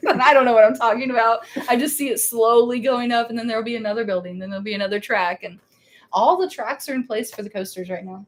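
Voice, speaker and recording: A woman's voice: a little high, fast talker. A woman co-hosting a casual theme park live stream, chatty and laughing, rambling with restarts.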